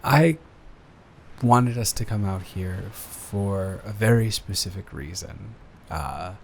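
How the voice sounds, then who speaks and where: A man acting as a devoted young boyfriend with a lowish voice, nervous and sweet on his partner. He rambles and stumbles bashfully through an intimate, improvised roleplay, with noticeable ambient noise behind him. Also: expressive, slow, creaky, heavily acted. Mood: nervous, earnest. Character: nervous, adoring young boyfriend about to propose.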